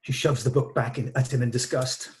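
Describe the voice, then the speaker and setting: A man's voice: medium pitch, fairly even intonation, fairly quick. A man narrating stage directions from a script at a table read.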